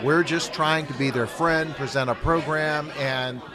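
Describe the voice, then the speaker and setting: Measured middle-aged radio man. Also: on the high side, steady tone, a crowd in the background. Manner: measured, informative. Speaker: radio broadcaster guest. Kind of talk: interview answers.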